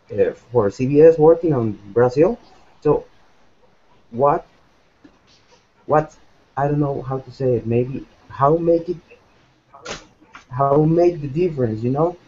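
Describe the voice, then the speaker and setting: Halting man from Costa Rica, lo-fi call. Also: a little high, mellow, slightly rough. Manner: halting, searching for words. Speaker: student guest. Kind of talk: hesitant question in a video hangout. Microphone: video call. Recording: dull lo-fi video call audio.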